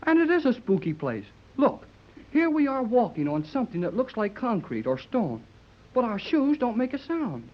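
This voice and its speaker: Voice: mid-range voice, sing-song, normal speed. A performer playing a plucky, impatient American boy with brash, folksy slang, in 1930s radio serial style. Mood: brash wonder. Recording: dull old 1930s radio recording.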